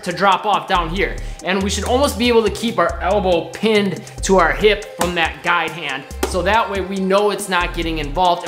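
Guy in his 30s walking thru basketball drills from his couch, roomy sound, bit of music behind. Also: high voice. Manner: upbeat, demonstrating drills with a ball while seated. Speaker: basketball coach. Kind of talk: instructional drill demo. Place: living room, seated on couch. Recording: echoey room with faint music.

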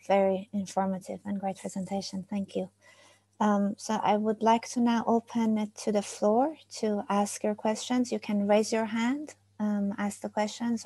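A woman in her forties opens the floor for questions with polite, um-filled phrasing. Her voice is gravelly and mellow, and her delivery is fairly flat. The sound is dry and close through a wired earphone mic. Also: medium pitch, dry recording. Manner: polite, with frequent ums. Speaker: workshop host. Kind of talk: moderating an online Q&A. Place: study with framed pictures, indoors. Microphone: wired earphone mic on a video call.

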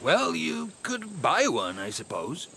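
An actor playing an anxious, superstitious young male athlete in a video game, pleading and excitable. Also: a little high, slow, a little hiss or hum, full character voice. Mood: pleading, downcast. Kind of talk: video game character acting.

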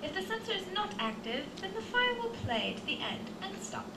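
A woman's voice: very high-pitched, sing-song, a little fast, bright, forceful tone. A woman reading a scripted demonstration announcement as a voice-over announcer.

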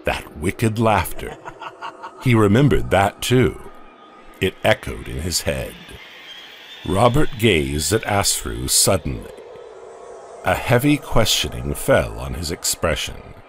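Deep, slow horror narrator man. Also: sing-song, slight background noise. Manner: slow and dramatic.

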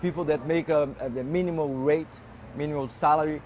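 A man's voice: fairly high pitch, fairly even intonation, a little fast, a little mellow, lo-fi sound, a faint crowd, a little hiss or hum. A man in his fifties with a Brazilian accent, a native Portuguese speaker, answering questions in hesitant English. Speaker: restaurant owner. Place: small restaurant indoors.

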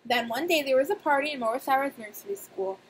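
High-voiced young woman talking to small children. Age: young adult. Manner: expressive, simple child-directed speech with emphatic pauses. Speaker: nursery teacher. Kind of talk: read-aloud and talk to young children. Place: small indoor room. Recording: clear background noise, webcam-style room recording.

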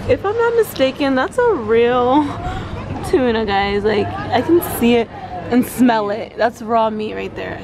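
A woman's voice: on the high side, expressive, measured pace. A young woman in her twenties, chatting casually as a travel vlogger while she walks around with a handheld camera. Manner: chatty, upbeat, filler-heavy with frequent "like". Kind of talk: casual travel vlog narration. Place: outdoors on busy city streets and in markets and shops. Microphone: handheld camera at arm's length. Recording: music and crowd noise behind.

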